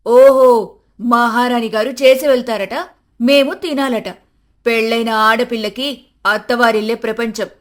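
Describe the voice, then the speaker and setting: A woman's voice: on the high side, fairly even intonation, measured pace, lightly acted. A woman voicing a stern, old-fashioned older mother-in-law in a family story, bossy and emphatic. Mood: scolding, stern.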